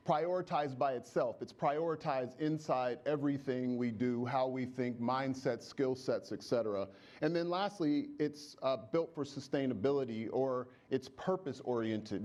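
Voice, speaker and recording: A man's voice: mid-range voice, a little mellow, muffled recording. Man speaking methodically on stage. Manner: structured, lists points in order. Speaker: guest speaker on diversity and inclusion. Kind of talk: stage conversation. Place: stage at a conference.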